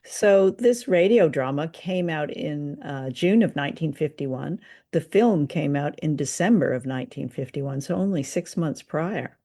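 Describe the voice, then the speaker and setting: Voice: medium pitch, lively intonation, a little slow, warm tone. A podcast host talking casually and with enthusiasm about old-time radio drama, in a conversational way.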